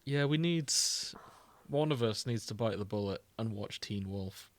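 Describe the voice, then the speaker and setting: A man's voice: fairly low pitch, expressive, measured pace, a little mellow. A man co-hosting a podcast in casual, unscripted chat, with an easygoing conversational way of talking. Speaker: podcast co-host.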